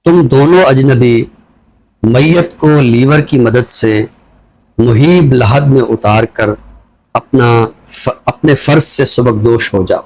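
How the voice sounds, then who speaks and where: Deliberate Pakistani man, literary speaker. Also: mid-range voice, a little mellow, low-quality recording. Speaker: literary show presenter reciting a poem and introducing a book. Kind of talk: poetry recital and literary talk.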